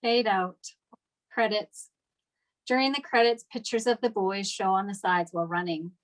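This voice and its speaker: Female narrator for a script read, medium pitch, delivering scene directions. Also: fairly even intonation, normal speed, poor audio. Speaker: stage direction reader at a table read.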